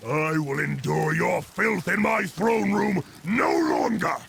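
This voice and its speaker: An actor playing a proud, belligerent orc war chief in a game cinematic, gravelly, commanding and full of contemptuous threat. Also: male voice, sits high, slow, fairly bright tone, heavily acted. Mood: menacing contempt. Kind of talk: video game cinematic acting.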